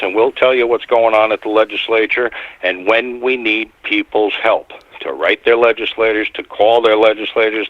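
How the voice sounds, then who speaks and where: Low-voiced man on a tinny phone line. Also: no room sound. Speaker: guest, advocacy group founder. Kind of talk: casual radio talk.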